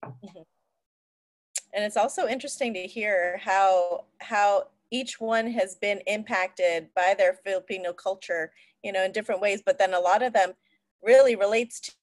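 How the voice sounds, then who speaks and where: A woman's voice: medium pitch, moderate pace, no echo. Woman hosting a video call, casual and chatty, dry sound. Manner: chatty, with frequent mm-hmms and little laughs. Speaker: event host.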